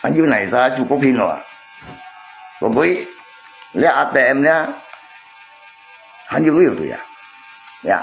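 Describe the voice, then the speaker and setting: A man's voice: mid-range voice, very animated, a little slow, creaky, pauses often, soft background music. A man chatting casually on a livestream, repeating himself and arguing his point to viewers. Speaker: live streamer.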